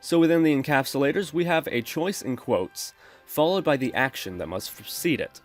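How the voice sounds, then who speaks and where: A man calmly explaining code step by step as the host of a screen-recorded programming tutorial. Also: a little high, moderate pace, faint music behind. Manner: steady explanatory, addresses viewers as folks.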